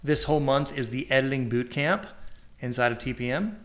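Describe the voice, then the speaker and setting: A man's voice: medium pitch, a little fast, fairly bright tone. A man co-hosting a live podcast, chatting casually and upbeat while promoting. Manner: upbeat, promotional, says y'all. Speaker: podcast co-host. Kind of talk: casual live-stream chat.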